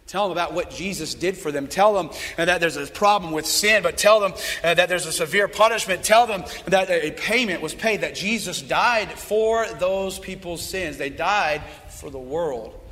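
A hoarse man preaching a sermon as youth pastor, swinging between loud fired-up calls and low earnest appeals. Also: moderate pace, few pauses, in a large hall.

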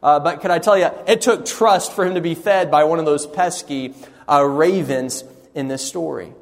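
High-voiced preacher in a reverberant hall. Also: male voice, normal speed. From raised partly in Sri Lanka on the mission field. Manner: earnest preaching with repeated words and fillers. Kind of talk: sermon.

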